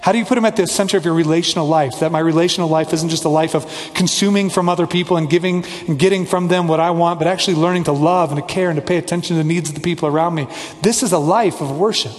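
A pastor delivering a sermon, earnest and conversational, now and then slipping in a playful aside. His voice sits a little high for a man, and a long reverb trails each phrase through the church hall. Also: quick pace.

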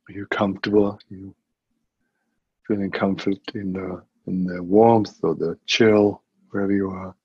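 A man with a low-pitched voice leads a guided meditation. He gives gentle instructions and leaves long, unhurried pauses between phrases. The recording is dry and somewhat muffled. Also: flat delivery, slightly rough, no echo. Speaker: meditation teacher. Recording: muffled, dull lo-fi audio.

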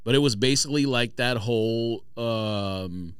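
A man's voice: medium pitch, unhurried, clean tone, dry recording, studio quality. A man debating casually as a podcast host, argumentative and blunt, with emphatic delivery.

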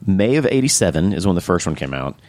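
A man hosting a casual podcast chat, enthusiastic and rambling, with frequent restarts and a slightly hoarse voice. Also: low voice, very animated, fast, a little mellow, no room sound. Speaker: podcast host.

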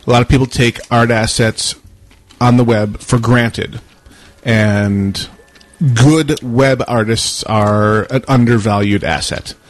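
A man's voice: mid-range voice, fairly even intonation, slow. A man co-hosting a casual podcast, chatting and making announcements to a group. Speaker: podcast co-host.

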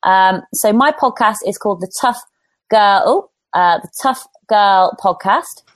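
A woman hosts a live online chat with bright enthusiasm. Her voice sits in the middle range and sounds dry and close. She keeps things upbeat and promotional. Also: mid-range voice, takes it slowly, no echo. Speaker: host of an online podcasting conversation.

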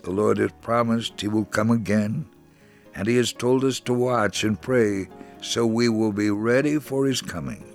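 A man reading a scripted first-person faith story in a measured, solemn manner, as a dramatic narration. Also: on the low side. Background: music.

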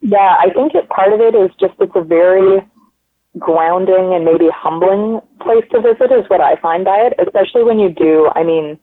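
A woman's voice: sits low, creaky. A woman, a guest chatting casually in an interview, rambling with lots of "like" and "um" in an upbeat way. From Canada. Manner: rambling, many likes and ums, upbeat. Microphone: phone line. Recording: tinny phone line.

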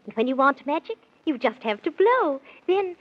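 A good queen in 1930s children's radio. The voice is high and very animated, reassuring, with theatrical sing-song rhymes. The vintage recording is muffled. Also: moderate pace. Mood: reassuring, instructive. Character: good, grandly reassuring storybook fairy-tale queen.

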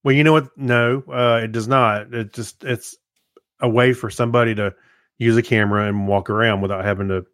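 A man co-hosting a podcast in casual chat, talking in a halting, repetitive, folksy and joking way. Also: mid-range voice, fairly even intonation, a bit bright, no echo, clean studio sound. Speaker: podcast co-host.

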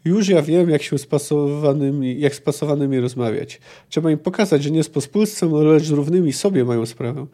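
A man's voice: a little high, a little fast, few pauses, warm tone, close to an everyday voice. A man voicing a pompous, overconfident poet in a dramatic novel reading, with a haughty, declamatory manner. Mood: cocky.

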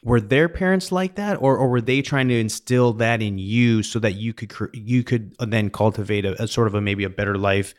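A low-pitched male podcast host from western Canada. He talks in a casual, conversational way, as in a relaxed sit-down interview. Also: normal speed, few pauses. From British Columbia, Canada.

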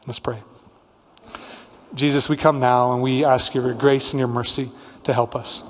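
A man preaching a sermon as a pastor, speaking earnestly with deliberate repetition and lists. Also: mid-range voice. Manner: earnest, rhetorical repetition and listing. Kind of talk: sermon with prayer and scripture reading. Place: large reverberant church room. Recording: hall reverb, amplified church preaching.

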